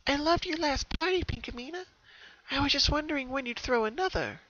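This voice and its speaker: A man's voice: extremely high pitch, lively intonation, a little fast, pushed and bright, dry recording, lo-fi sound, voice pushed up, heavily acted. A man voicing an anxious, earnest young female cartoon pony in an emotional, pleading manner. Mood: wistful.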